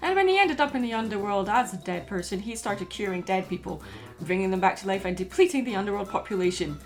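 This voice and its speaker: A woman's voice: medium pitch, expressive, fast, faint music behind. A woman hosting and narrating a scripted video essay.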